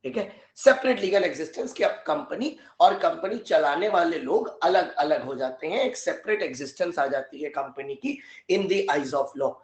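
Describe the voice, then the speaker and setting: A man teaching a live online law class with a hoarse, sore throat, often clearing it and mixing in English terms. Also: on the high side, expressive, normal speed, hardly any pauses, roomy sound, rough recording.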